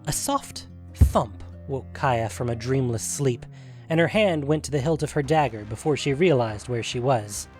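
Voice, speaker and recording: A man's voice: mid-range voice, animated, moderate pace, darkish tone. A man narrating a scripted fantasy story as the reader of a story podcast. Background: music.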